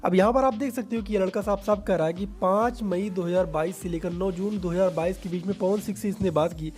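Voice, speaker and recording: High-pitched man presenting over music. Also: steady tone, brisk, darkish tone. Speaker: YouTube entertainment news host. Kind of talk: explanatory commentary addressed to viewers. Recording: background music under voice.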